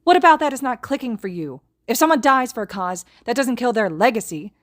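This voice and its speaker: Lady doing a tense young guy, cold then yelling, fierce. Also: a little high, fairly quick, pitched above the performer's own voice, natural voice. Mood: furious. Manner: calm, then screaming.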